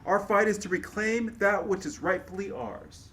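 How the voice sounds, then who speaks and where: A man playing an earnest, impassioned civil rights activist and orator in a dramatic reading, speaking in measured oratory. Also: high-pitched, lively intonation, a bit bright, a little hiss or hum. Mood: reflective, resolute. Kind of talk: dramatic reading of historical dialogue.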